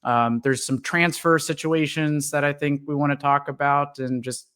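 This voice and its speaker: A man hosting a fan podcast, welcoming a guest in a relaxed, filler-heavy way. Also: fairly high pitch, normal speed, clear, resonant tone. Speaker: podcast host.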